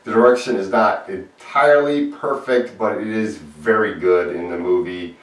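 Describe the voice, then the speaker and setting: A man's voice: fairly low pitch, normal speed, far from the mic. A man giving an earnest, plain-spoken film review in a solo video. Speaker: movie reviewer. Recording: echoey room, voice off-mic.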